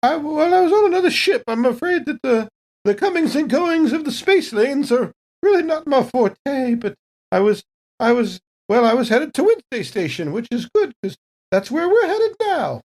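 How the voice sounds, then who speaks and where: A man's voice: very high-pitched, very animated, normal speed, darkish tone, full character voice. A man voicing a genteel, scatterbrained lady android tourist in a tabletop game, polite and rambling. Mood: flustered, chatty. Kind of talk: tabletop role-play acting.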